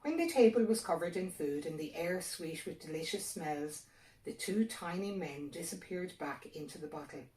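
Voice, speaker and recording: A woman's voice: fairly low pitch, lively intonation, normal speed, a little mellow. An Irish-accented woman reading a folktale as scripted narration. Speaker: storyteller. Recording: echoey room, distant mic.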